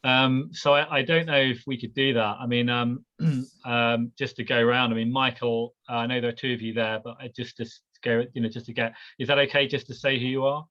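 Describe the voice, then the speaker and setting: A man leading an informal academic discussion on a video call, halting and full of fillers and restarts. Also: mid-range voice, fairly even intonation, fairly bright tone, highs cut off. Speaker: discussion leader, head of department. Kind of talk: hesitant informal academic chat.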